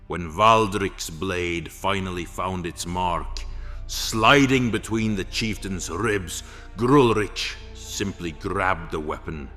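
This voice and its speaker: A man with a profoundly deep voice narrates a dramatic fantasy tale. His scripted delivery moves slowly, with music playing behind it. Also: bright, forceful tone.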